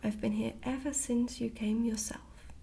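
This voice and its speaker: A woman voicing a kindly, mysterious old grandmother queen, calm and half-whispered, in a storybook reading. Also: fairly high pitch, unhurried, creaky, a little hiss or hum. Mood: calm, cryptic. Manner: calm, patient, gently cryptic. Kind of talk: hushed ASMR storybook reading.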